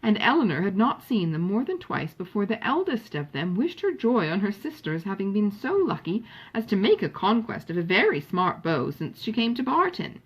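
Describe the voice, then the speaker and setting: Expressive woman narrator, slightly husky. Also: mid-range voice, moderate pace, hardly any pauses, rough recording. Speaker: audiobook reader.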